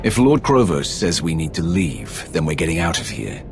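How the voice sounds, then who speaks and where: An actor playing a stern male Imperial soldier with a very deep gravelly voice, curt and cautious in how he speaks. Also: very animated, normal speed, over music, exaggerated voice. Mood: wary. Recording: game soundtrack under voice.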